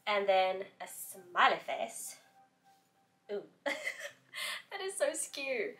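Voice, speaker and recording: A woman's voice: medium pitch, very animated, slow, pushed and bright. A woman vlogging at home in a casual, bubbly, chatty way, still sick with a cough. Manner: bubbly, chatty, laughs often. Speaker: craft vlogger. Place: home, spare craft bedroom.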